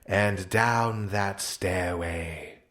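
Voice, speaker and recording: A man's voice: low voice, drawn-out words, fairly bright tone. A man narrating an absurd comedic tale as a storyteller, with a theatrical, exaggerated delivery.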